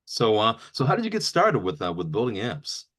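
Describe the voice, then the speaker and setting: Very deep-voiced man, casual interviewer. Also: wildly swooping pitch, brisk, fairly bright tone. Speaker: host, interviewer.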